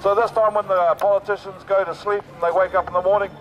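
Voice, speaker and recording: A hoarse man speaking at an outdoor protest rally through a megaphone, rousing and repetitive. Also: sits high, little pitch movement, fast, soft background music, a faint crowd, rough recording. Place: outdoors on a city street.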